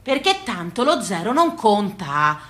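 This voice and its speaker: A woman's voice: mid-range voice, sing-song, unhurried, noisy background. A woman with a Tuscan accent performing a theatrical monologue as a storyteller, folksy, emphatic and rhythmic. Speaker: stage actress and storyteller. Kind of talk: theatrical monologue of rustic family memories. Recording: echoey, roomy sound.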